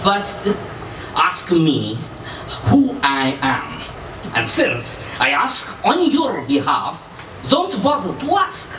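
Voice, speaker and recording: Guy doing a boastful ex-commando in a story reading, highish voice, distant mic. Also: huge pitch swings, slow pace, exaggerated voice. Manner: emphatic, repetitive, broken grammar with questioning tags. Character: menacing, boastful Iranian ex-commando, volatile and emphatic. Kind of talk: dramatic character reading from a novel. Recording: distant echoey room, faint noise.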